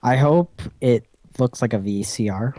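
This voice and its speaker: A man's voice: medium pitch, measured pace, a crowd in the background. A young man co-hosting a podcast in casual chat, joking, swearing and laughing often. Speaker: podcast co-host.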